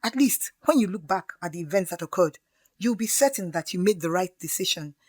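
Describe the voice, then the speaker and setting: Casting: woman as sensible, supportive young female confidante, radio drama; lowish voice, dry close sound. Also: animated, husky, no room sound. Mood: sympathetic, advising. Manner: measured, reasoning.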